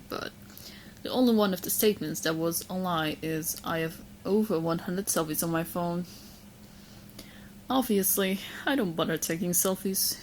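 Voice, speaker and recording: A woman's voice: fairly low pitch, a little hiss or hum, natural voice, poor audio. A young woman voicing a sweet, romantically obsessed witch girlfriend in an ASMR roleplay, playful and possessive as she speaks straight to the listener. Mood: teasing, smug. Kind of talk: ASMR roleplay audio drama, spoken to the listener.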